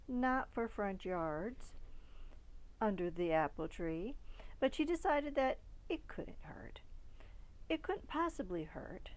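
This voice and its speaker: A woman reading a children's picture book aloud in a deliberate way, pausing between short phrases. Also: a little high, darkish tone, low-quality recording. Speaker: storybook reader.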